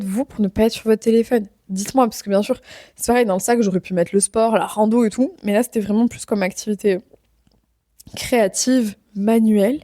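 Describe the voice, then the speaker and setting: A woman's voice: medium pitch, mellow, no echo, studio quality. A young woman hosting a podcast, chatting casually on her own with plenty of fillers and asides. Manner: chatty, lots of fillers and self-deprecating asides. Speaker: podcast host. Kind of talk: casual solo podcast chat.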